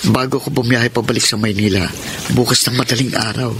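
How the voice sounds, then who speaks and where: A man's voice: medium pitch, steady tone, a little fast, fairly bright tone, a little hiss or hum, lightly acted. A man acting a conflicted, guilty young man in a Filipino radio romance drama, speaking hesitantly with stammers. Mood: hesitant, pleading. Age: young adult. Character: conflicted young man, guilty, torn between two women.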